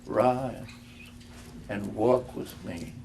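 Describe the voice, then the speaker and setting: A man voicing a gentle, solemn little spirit in a storybook reading, speaking softly and with measured calm. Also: on the low side, gravelly, a little hiss or hum, exaggerated voice. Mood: gentle, commanding. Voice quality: soft, gentle.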